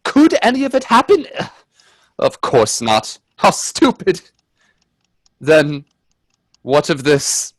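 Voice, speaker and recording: A man's voice: fairly high pitch, huge pitch swings, takes it slowly, long pauses, no echo, studio quality, exaggerated voice. An actor playing a man who doubts and broods over a strange tale in a radio play, with dramatic questioning. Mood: incredulous, wondering.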